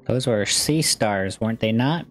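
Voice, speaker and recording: A woman with an extremely deep voice chats casually over a video game. The manner is playful and slangy, and faint music runs behind the voice. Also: expressive, measured pace. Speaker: gaming video creator. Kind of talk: casual game playthrough commentary.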